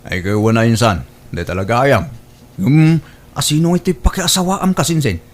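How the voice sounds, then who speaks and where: A man with an Ilocano accent playing a stubborn, exasperated son in a comedy radio-style drama, animated and complaining. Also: medium pitch, moderate pace, lightly acted. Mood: casual.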